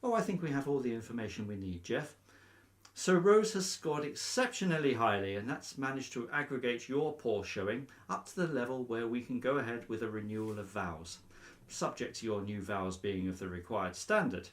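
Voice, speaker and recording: A male actor playing a breezy, nosy, officious vicar in a radio comedy, briskly polite and openly biased. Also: medium pitch, lively intonation, lightly acted. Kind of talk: radio play comedy acting.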